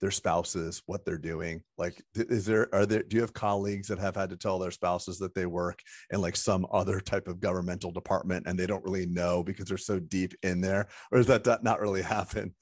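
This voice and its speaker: A man with a low voice asks loose, curious questions, often restarting his sentences. His voice sounds close and dry, with no room behind it. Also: fairly even intonation, fairly quick, few pauses, dry recording. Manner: chatty, curious, rambling questions. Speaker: interviewer. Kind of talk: casual interview questions.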